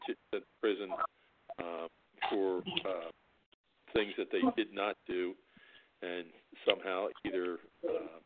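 Hesitant low-voiced man on a tinny line. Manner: hesitant, heavy on filler sounds. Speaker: podcast host. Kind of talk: casual chat. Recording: phone-like line with clear background noise.

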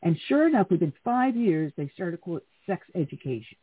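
An opinionated male talk radio co-host tells stories with indignant emphasis. His voice is husky and a little high. It has a thin, phone-like band. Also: very animated, a little fast. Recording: tinny phone-like sound.

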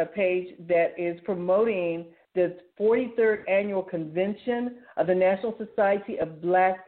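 Woman radio host on a phone-like line. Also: mid-range voice. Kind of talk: informal solo talk, announcing articles and events. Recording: thin, phone-like narrow-band sound.

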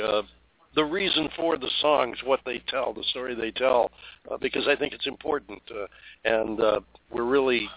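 A man hosting a radio talk show, speaking in a measured way with occasional fillers. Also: mid-range voice, no room sound. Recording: tinny lo-fi radio sound.

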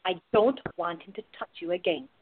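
Woman acting tender protective lover in a radio drama, restrained, earnest, medium-pitched voice. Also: brisk, vocal fry, breathy edge, narrow, tinny audio. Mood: protective, firm. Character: tender, protective woman in love, quietly insecure.

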